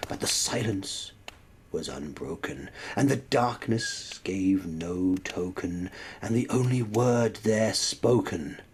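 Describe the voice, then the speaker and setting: A man giving a dramatic recitation of a gothic poem, theatrical and often dropping to a hushed near-whisper. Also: deep voice. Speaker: poetry reader. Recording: noticeable background noise.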